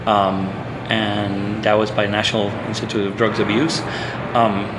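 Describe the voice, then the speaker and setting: A man's voice: fairly low pitch, little pitch movement, unhurried, background noise. A man from Puerto Rico, a social psychologist, talking earnestly as a guest in an interview, with frequent restarts and fillers. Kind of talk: conversational interview.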